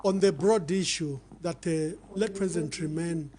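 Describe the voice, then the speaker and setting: A man hosting a political interview, speaking formally and haltingly. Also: fairly high pitch, a crowd in the background. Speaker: interview host.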